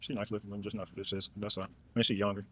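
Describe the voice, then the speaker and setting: A man's voice: lowish voice, level tone, very fast. A man in his forties, a dating show contestant answering tersely and plainly. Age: 40s. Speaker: truck driver, contestant.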